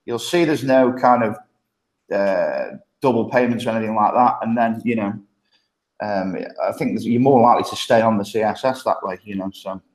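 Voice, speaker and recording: A man's voice: fairly low pitch, moderate pace, roomy sound, rough recording. A man from the English Midlands with a thick Midlands accent, talking casually as a podcast guest. He chats loosely, often laughing, with lots of ums and restarts. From Stoke-on-Trent, England. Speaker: guest, agency partnership manager.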